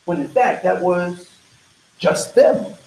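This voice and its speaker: A man's voice: high-pitched, lively intonation, slow pace, vocal fry, darkish tone. Casual, digressive man lecturing. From United States. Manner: casual, digressive, frequent "right?" and "um". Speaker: diversity trainer. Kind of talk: conversational workshop lecture. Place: training room. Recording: echoey training room, faint noise.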